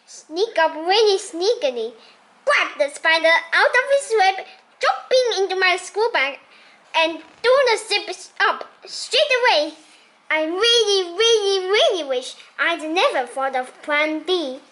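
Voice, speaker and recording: Very high-voiced woman reading a children's story. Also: expressive, a little slow, fairly bright tone. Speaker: storybook reader. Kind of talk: expressive children's story read-aloud.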